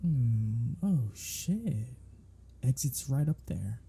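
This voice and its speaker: A man voicing a gravelly, teasing vampire. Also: mid-range voice, animated, very slow, warm tone, heavily acted. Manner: teasing, sardonic, flirtatious banter. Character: teasing captive male vampire, sardonic and flirtatious. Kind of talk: ASMR roleplay audio drama.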